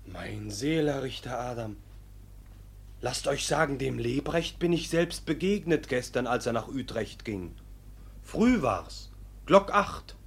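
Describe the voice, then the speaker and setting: An actor playing an earnest young peasant man protesting indignantly in a classic comedy radio play, with a raspy voice. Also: medium pitch, expressive, slow, heavily acted.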